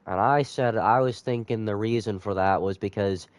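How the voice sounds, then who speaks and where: Nasal, low-voiced male game streamer. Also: normal speed, no echo. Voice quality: slightly nasal. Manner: rambling, chatting with viewers. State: sick with a cold. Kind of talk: casual live gaming stream commentary.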